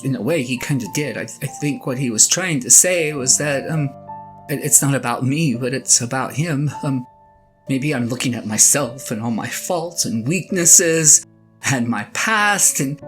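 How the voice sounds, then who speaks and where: A woman playing a flustered, self-doubting shepherd husband in an audio drama, stammering earnestly as the character confides in a spouse. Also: deep voice. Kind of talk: one-sided audio drama monologue, conversational and comic. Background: plucked guitar music.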